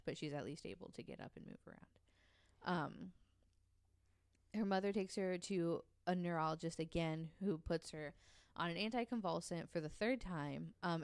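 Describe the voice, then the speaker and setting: A woman's voice: lowish voice, clear, resonant tone, dry recording. A woman co-hosting a podcast, telling a long story in a casual way, with many ums. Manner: narrates at length with frequent ums, reads quotes aloud.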